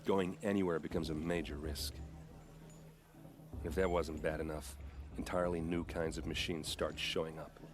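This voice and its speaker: A man's voice: very deep voice, flat delivery, normal speed. An actor playing a friendly, chatty young warrior who boasts about his tribe and his steel armor. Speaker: soldier in a king's vanguard. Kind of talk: video game cutscene dialogue. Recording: game audio with ambient noise.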